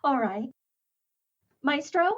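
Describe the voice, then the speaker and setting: High, gushing teenage starlet. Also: female voice, expressive, slow, dull audio. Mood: innocently cheeky. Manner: breathless gushing, wide-eyed. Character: eager, chatty fifteen-year-old girl singing star. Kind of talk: 1930s radio comedy acting.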